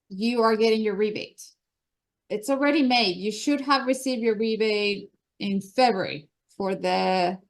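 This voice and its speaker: A woman with a Colombian accent hosting a meeting, presenting slides with chatty enthusiasm. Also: medium pitch. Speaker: meeting host. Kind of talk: upbeat meeting announcements.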